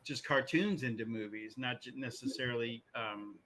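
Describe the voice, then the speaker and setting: A man's voice: mid-range voice, normal speed, pushed and bright, a little hiss or hum. A man chatting casually with friends as a podcast co-host about films and games.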